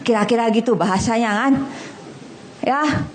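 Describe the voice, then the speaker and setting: A middle-aged woman preaches to a congregation in a high, bright voice. She is lively and blunt, cracks jokes in casual slang and keeps throwing questions at the room. Faint hall ambience hums behind her. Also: moderate pace, a little hiss or hum. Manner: animated, humorous, blunt and colloquial, asks the audience questions. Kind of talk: lively sermon.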